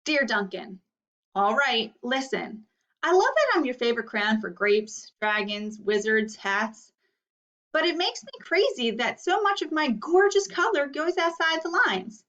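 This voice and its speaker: A neat, fussy purple crayon from a picture book is thoroughly exasperated , as a woman reads it. The pitch is high and jumps around a lot. The sound is slightly dull and distant. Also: very animated, measured pace, fairly bright tone, exaggerated voice. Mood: frustrated. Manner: exasperated, emphatic.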